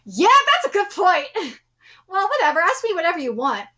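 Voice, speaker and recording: An ordinary, obliging young manga guy, read out by a woman, casual and unsuspecting. The voice stays high and bright, with slightly dull sound. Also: huge pitch swings, normal speed, full character voice. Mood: puzzled, agreeable.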